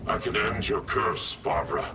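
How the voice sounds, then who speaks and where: A man playing a solemn, imperious sorcerer in a video game, with grave pronouncements and otherworldly processing. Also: normal speed. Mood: stern, solemn. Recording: echoing, distant, under loud noise.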